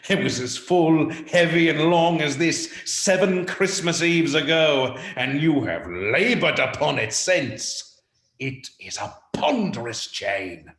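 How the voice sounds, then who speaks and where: A man's voice: on the high side, big pitch swings, heavily acted. A man acting the mournful, remorseful ghost of a dead businessman in a radio play, with solemn, swelling declamation. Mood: grave, restless. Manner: solemn, drawn-out declamation with big swells and hushed drops. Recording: roomy, echoing sound.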